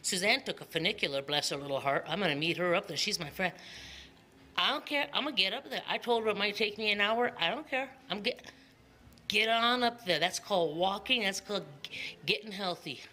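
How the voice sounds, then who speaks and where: A woman's voice: mid-range voice, fairly quick, pushed and bright. A middle-aged woman, chatting casually and emphatically to a camera held at arm's length, often repeating words for effect. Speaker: vlogger. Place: mostly outdoors in hilly town streets, partly indoors. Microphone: phone or camera at arm's length.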